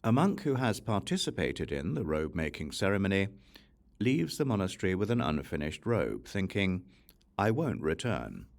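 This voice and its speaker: A man reading a scriptural audiobook in a measured, reciting manner, breaking sentences into short phrases. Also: low voice, big pitch swings, gravelly. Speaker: audiobook reader.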